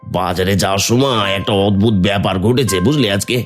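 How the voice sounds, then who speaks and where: A man doing a poor, good-natured village man in an animated folk tale. His voice sits a little low, and he speaks earnestly, often musing aloud. Soft music plays underneath. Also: fast, lightly acted. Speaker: voice actor. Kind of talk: Bengali cartoon voice acting.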